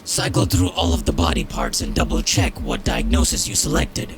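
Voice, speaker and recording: A man's voice: low voice, fairly even intonation, normal speed, crowd noise, close to an everyday voice. An actor playing a blunt, exasperated, foul-mouthed male mentor in a horror video game. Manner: blunt, swearing, instructive. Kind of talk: video game character dialogue. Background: game music.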